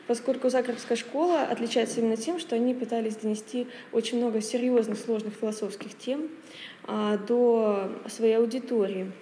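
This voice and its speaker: A woman's voice: a little high, dark tone. Hesitant young woman presenting in an echoey room. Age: 20s. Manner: hesitant, halting delivery. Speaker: speaker presenting a paper. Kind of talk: academic conference presentation. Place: wood-panelled library meeting room, indoors. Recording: echoey room with long reverb, faint noise.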